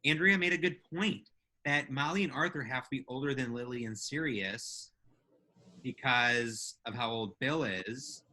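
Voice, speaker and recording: Bright-edged man chatting casually. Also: medium pitch, unhurried. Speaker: podcast co-host. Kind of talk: casual banter and asides.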